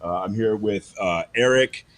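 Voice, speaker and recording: A man's voice: fairly low pitch, unhurried. A man hosting an informal on-location segment, talking to a group as he records. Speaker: podcast host recording a segment at an auto shop. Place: auto repair shop. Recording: noisy on-location clip with clicks.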